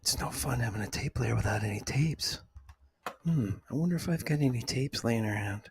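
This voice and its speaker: A man hosting ASMR, speaking to the listener in a hushed, breathy whisper and soft voice. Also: mid-range voice, animated, brisk. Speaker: ASMR video host.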